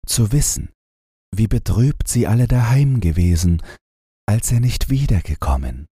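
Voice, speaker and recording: A man's voice: lowish voice, lively intonation, a little slow, warm tone, breathy edge, no echo, clean studio sound. A man narrating a bedtime fairy tale as a scripted audiobook.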